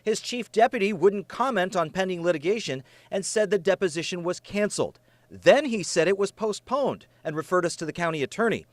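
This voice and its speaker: A man delivers a serious, emphatic TV news report in an American accent. His voice sits high for a man and moves with lively intonation. The sound is dry and close, like a studio voice-over. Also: a little fast, no room sound. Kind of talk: scripted investigative news narration.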